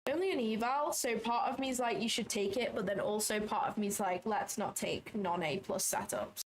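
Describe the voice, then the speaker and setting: A woman's voice: mid-range voice, moderate pace, a little hiss or hum. A woman hosting a trading livestream from her office, chatting casually, thinking aloud, second-guessing herself and swearing now and then. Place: small office.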